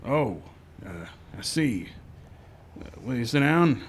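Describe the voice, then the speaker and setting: Male actor as frontier lawman and storyteller: steady, firm, middle register, classic radio western delivery. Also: mid-range voice, slow, slight background noise. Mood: courteous, measured. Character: steady, firm frontier marshal who also narrates. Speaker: narrator and lead actor. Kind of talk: old-time radio western acting. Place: live stage show in a park.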